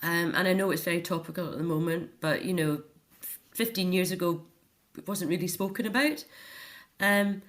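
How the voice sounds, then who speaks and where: A woman's voice: lowish voice, fairly even intonation, moderate pace, fairly bright tone. A middle-aged Scottish woman talking earnestly and reflectively as a guest in a personal interview. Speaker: interview guest, author and care home volunteer.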